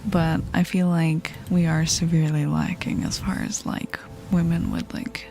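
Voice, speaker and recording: Soft-whispering woman with a low, gravelly voice. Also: level tone, unhurried, a little hiss or hum. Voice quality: deep voice for a woman. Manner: soft whispering, giggly and playful. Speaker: ASMR streamer. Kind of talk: whispered ASMR stream chat.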